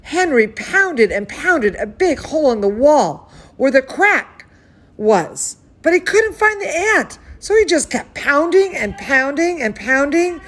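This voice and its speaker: A woman hosting a children's bedtime story, reading aloud with affectionate, chatty asides and exclamations for young listeners. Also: high-pitched. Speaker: children's bedtime story host and cooking teacher.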